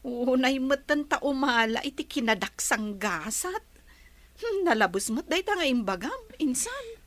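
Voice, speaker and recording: High, unhurried, kindly Ilocano cousin played by a woman. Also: very animated, fairly bright tone, no echo, exaggerated voice. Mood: cheerful reassuring. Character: kindly, encouraging female cousin comforting a new mother. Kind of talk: Ilocano radio drama acting.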